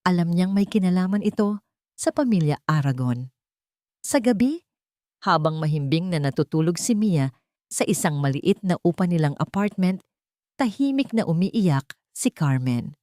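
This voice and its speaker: A woman narrating a scripted romantic drama in an emotive, deliberate way, phrase by phrase. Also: on the low side, animated, mellow, dry recording, studio quality. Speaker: storyteller, narrator.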